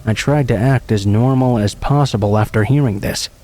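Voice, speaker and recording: A man calmly narrating true horror stories in an even, scripted read. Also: medium pitch, normal speed.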